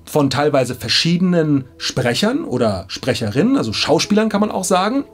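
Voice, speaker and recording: Male presenter, thirties, slightly high husky voice, colloquial and enthusiastic, behind-the-scenes explainer. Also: big pitch swings, normal speed. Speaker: audiobook production presenter. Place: small indoor audio studio.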